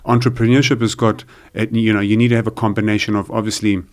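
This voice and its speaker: Halting podcast host, a man with a lowish voice. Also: little pitch movement. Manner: halting, many fillers and restarts. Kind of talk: casual interview chat.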